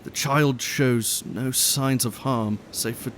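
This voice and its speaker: A sceptical gentleman with little patience in a gothic audio drama. His voice sits at a middle pitch and his manner is brusque. There is a faint rumble underneath. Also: steady tone, slow. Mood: uneasy, reading. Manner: brusque, demanding. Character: indignant, impatient gentleman, grieving and sceptical.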